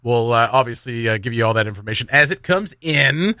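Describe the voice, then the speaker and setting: A man's voice: mid-range voice, lively intonation, brisk, sharp tone, dry recording, clean studio sound. A man hosting a morning radio show with casual, joking, rambling banter and announcements. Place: radio studio.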